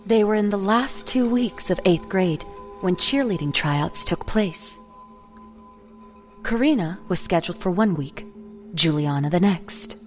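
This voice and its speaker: A woman narrator reading a scripted story for an audio drama. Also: mid-range voice.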